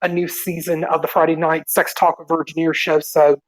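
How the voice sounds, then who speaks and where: A man co-hosting a podcast, politely reading out show plugs in an informal, halting way. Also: a little high, little pitch movement, no echo, poor audio. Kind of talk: informal announcement of show schedules.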